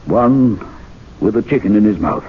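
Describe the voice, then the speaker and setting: An actor playing a club member who speaks in a measured, wondering way in a radio drama, heard as a tinny old broadcast. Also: male voice, lowish voice, animated, a little hiss or hum, lightly acted.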